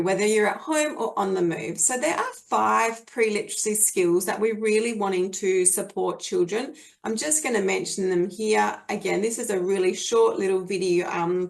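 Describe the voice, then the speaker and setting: A woman's voice: mid-range voice, gravelly. An Australian woman presenting a webinar on early literacy for parents, explaining things in a friendly way with many fillers and restarts.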